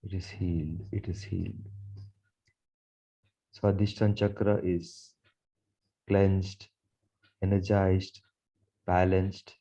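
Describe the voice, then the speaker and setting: Male meditation guide: mellow, unhurried delivery, measured and soothing, repetitive phrasing. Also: low-pitched, level tone, dull audio. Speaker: guided meditation leader, Reiki teacher.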